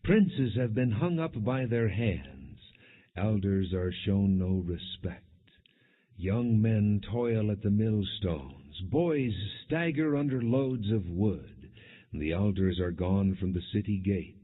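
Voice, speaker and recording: A man reading scripture aloud as a solemn audiobook narration, with measured, deliberate phrasing. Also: lowish voice, lively intonation. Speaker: audiobook Bible reader. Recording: muffled, phone-like narrow-band audio.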